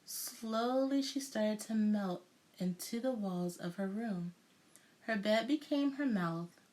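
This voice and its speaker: A woman reading a children's picture book aloud with deliberate, dramatic storytelling delivery. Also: medium pitch, a little mellow, poor audio. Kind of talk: expressive children's read-aloud narration.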